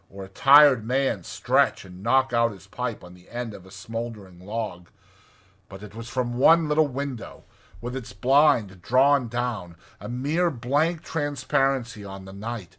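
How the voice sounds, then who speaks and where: A man narrating a classic storybook in an expressive, dramatized reading with deliberate phrasing. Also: medium pitch, husky. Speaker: audiobook narrator.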